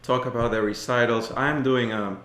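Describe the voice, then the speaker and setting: A man's voice: medium pitch, fairly even intonation, moderate pace, a bit bright. A man in his twenties from Ecuador, effusive and heartfelt in casual group conversation, in a roomy, echoey recording. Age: 20s. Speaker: baritone voice student, podcast guest.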